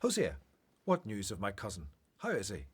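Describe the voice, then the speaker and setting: Man acting gentle prophet-teacher, husky, widely varied pitch, assured, earnest, radio play. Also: huge pitch swings, normal speed, full character voice. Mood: gentle, caring. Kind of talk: radio Bible drama acting.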